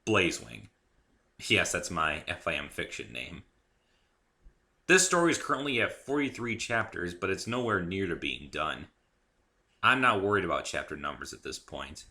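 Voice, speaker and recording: A man's voice: sits low, pushed and bright. A man giving a theatrical, articulate reading of his own story, with emphatic phrasing. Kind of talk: dramatic reading, scripted narration.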